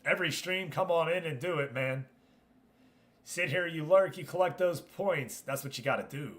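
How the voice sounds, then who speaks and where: Higher-pitched man chatting on a livestream. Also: normal speed, fairly bright tone. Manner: chummy, addresses viewers as buddy and man. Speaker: livestream host. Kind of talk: casual livestream chat and game commentary.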